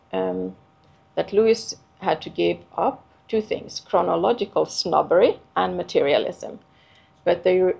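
Low, gravelly woman. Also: a little mellow. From Finland. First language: Swedish. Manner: hesitant, many filler sounds and restarts. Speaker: conference speaker. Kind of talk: academic conference paper.

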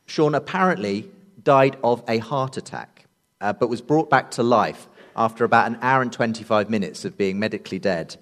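A man's voice: mid-range voice, moderate pace, no echo, studio quality. A man presenting a radio programme as its host, introducing items and guests.

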